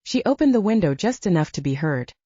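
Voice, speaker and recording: Animated woman narrating, dull dry recording. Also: lowish voice, fairly quick, husky, dry recording. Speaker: audiobook narrator. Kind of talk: scripted audiobook narration.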